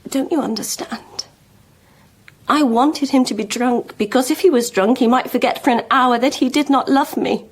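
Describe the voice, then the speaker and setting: An actress playing a proud, lovelorn schoolmistress in a period radio drama, speaking frankly and deliberately with emotional intensity. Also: female voice, high-pitched, slightly rough. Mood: confessing. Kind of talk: BBC radio drama acting.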